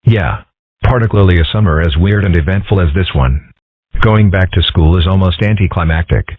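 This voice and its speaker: A man voicing a calm, philosophical young fox boy in a comic strip dub, deadpan, measured and wry. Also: low voice, dry recording. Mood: calm, musing. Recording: muffled, phone-like narrow audio.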